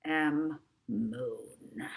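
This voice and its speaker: A woman's voice: rumbling low, very slow, vocal fry, pitched below the performer's own voice, heavily acted. A woman voicing an aggressive, scheming male professor villain who speaks in slangy, threatening demands in a storybook reading. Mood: muttering, menacing.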